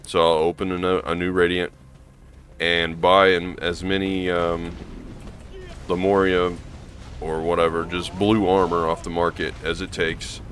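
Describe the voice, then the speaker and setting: A hoarse man with a gravelly voice, rambling casually through gameplay commentary with lots of ums and restarts. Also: low-pitched, level tone, unhurried, fairly bright tone, a little hiss or hum. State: hoarse from allergies. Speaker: gaming channel host.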